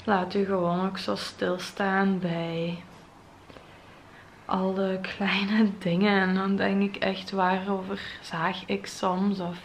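A young woman with a Flemish accent, talking to camera in a vlog after crying, her voice soft, breathy and trailing into whispers. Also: medium pitch, slight background noise. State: tearful, voice heavy from crying. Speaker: vlogger. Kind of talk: emotional vlog monologue to camera. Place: bright modern home interior. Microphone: camera at close range.

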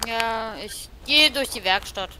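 A woman in an online ghost-hunting game, chatting quickly with her friends. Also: a little high, background noise. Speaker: co-player. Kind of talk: casual gaming chat.

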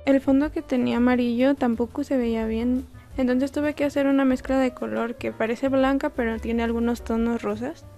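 Young woman artist, relaxed chatty voice-over, fairly high pitch. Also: normal speed, few pauses, soft background music. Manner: relaxed, chatty, self-deprecating. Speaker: artist narrating a painting process. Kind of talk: casual voice-over narration.

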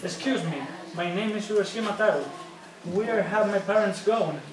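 A man's voice: sits high, moderate pace, background noise, lightly acted. A young man acting a homesick, devoted folktale hero in a scripted puppet show. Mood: earnest, homesick. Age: young adult. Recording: echoey room from far, audience clatter.

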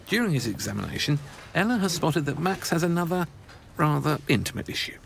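A man's voice: on the high side, big pitch swings, brisk, gravelly. A man narrating a documentary in a scripted voice-over, with dry wry asides.

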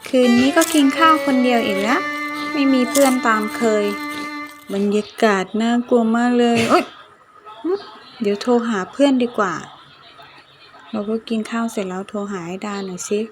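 Woman acting a chatty, jittery young woman, high-voiced. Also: normal speed, natural voice. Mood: lonely, bored. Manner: talks to herself in casual exclamations. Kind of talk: ghost-story cartoon acting. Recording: music behind the voice.

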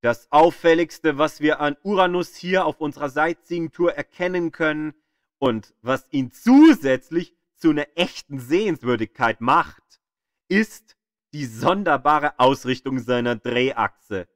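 A man reading a scripted educational audio guide in a measured, emphatic storytelling manner, with pauses. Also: fairly high pitch, no room sound, clean studio sound.

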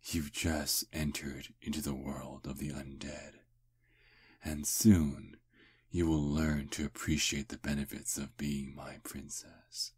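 Casting: male actor as seductive vampire prince for ASMR roleplay; extremely low, very slow, possessive yet tender, breathy whisper. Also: flat delivery, a little mellow, full character voice. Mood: tender, loving. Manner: slow, intimate, murmuring.

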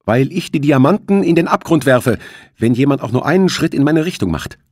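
A man's voice: medium pitch, animated, fairly quick, a little mellow, no echo, studio quality, voice pushed up, close to an everyday voice. A man voicing a defiant, nervy teenage boy in an audiobook, speaking urgently and giving orders. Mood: threatening. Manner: urgent, commanding.

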